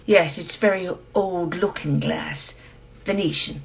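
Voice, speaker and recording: Woman as husky, enigmatic curio dealer. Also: on the low side, slow pace, dull audio, exaggerated voice. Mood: coolly teasing. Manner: understated, unruffled. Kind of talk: radio play acting.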